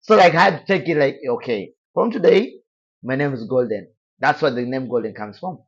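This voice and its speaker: A young man from Tanzania with a Tanzanian accent, speaking softly and quietly as a guest in a casual interview. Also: medium pitch, expressive, brisk, slightly rough, highs cut off. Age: young adult. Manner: soft-spoken, quiet.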